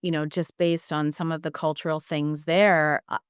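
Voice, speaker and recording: A woman hosting an interview, asking questions in a measured, affirming way. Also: sits low, little pitch movement, smooth, dry recording, clean studio sound. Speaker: podcast host.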